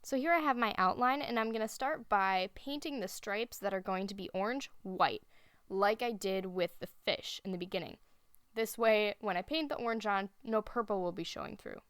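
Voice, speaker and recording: A woman giving a relaxed voice-over, explaining her painting steps as she thinks aloud. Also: medium pitch, normal speed, clear, resonant tone, no echo, clean studio sound. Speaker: art instructor. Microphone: voice-over recorded separately.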